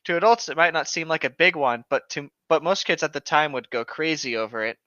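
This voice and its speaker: A man's voice: fairly high pitch, fairly quick, sharp tone, dry recording. A man co-hosting a comedy show, chatting casually and mockingly reading stories with sardonic, sweary jokes, on a lo-fi microphone. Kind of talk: casual banter and mocking read-aloud of internet horror stories. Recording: dull, lo-fi microphone sound.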